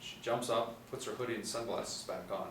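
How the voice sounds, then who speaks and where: A man's voice: on the low side, steady tone, a little fast. A young adult man narrating stage directions as a scripted reading at a table read. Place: small meeting room, seated in a row. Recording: echoey room, distant mic, faint noise.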